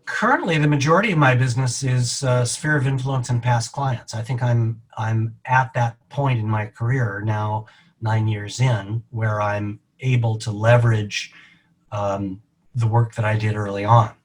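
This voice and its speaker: A middle-aged man being interviewed as a real estate agent, explaining his answers in a measured, deliberate way. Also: medium pitch, steady tone.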